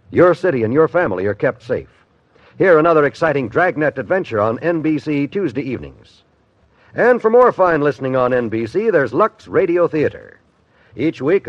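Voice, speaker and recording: A man's voice: a little high, dry recording. A man with an American accent, a radio announcer reading network promos in 1950s style. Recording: dull, dry old radio recording.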